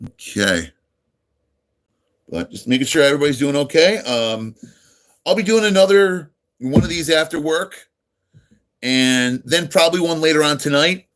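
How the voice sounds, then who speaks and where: A man's voice: mid-range voice, fairly bright tone. Middle-aged American guy from near New York, radio-style voice, easygoing live streamer who rambles through chat replies. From United States, near New York. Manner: laid-back, friendly, rambling with many ums and uhs. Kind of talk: casual live-stream chat, reading and answering viewers.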